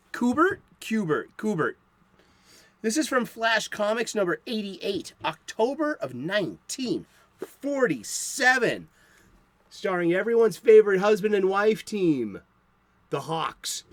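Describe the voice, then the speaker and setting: A man's voice: sits high, fairly bright tone. A man in his fifties with a light Boston accent, hosting a comic book show in a chatty, rambling, enthusiastic way. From South Boston, USA. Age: 50s. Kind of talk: casual chat to the viewer.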